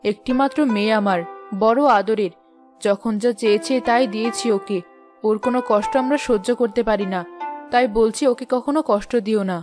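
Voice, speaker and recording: A woman voicing a doting, protective middle-aged mother in an animated moral story, with an insistent, motherly manner. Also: on the high side, normal speed, over music, close to an everyday voice.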